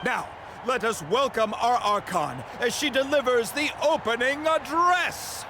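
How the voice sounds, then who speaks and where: An actor voicing an excitable male tournament announcer in a video game, with showy, projected delivery. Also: very high-pitched, a little slow, background noise, exaggerated voice. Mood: ceremonial. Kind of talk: game voice acting, ceremonial announcing. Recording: loud music behind the voice.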